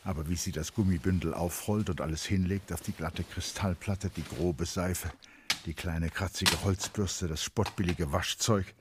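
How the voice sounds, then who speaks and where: A man narrating a literary radio drama in a measured, precise, dramatic manner, as an intimate observer. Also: low-pitched, fairly even intonation, background noise, close to an everyday voice. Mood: tense, observant.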